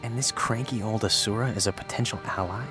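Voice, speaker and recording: Man as a low-voiced, wry adventurer. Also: fairly quick, lightly acted. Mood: curious, cool. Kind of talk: fantasy video game acting. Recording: clear music underneath.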